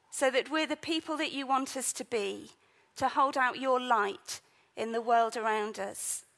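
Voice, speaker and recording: A woman's voice: a little high, bright, forceful tone. A woman leading a church service, reading scripture, introducing the guest preacher, and praying in an earnest manner.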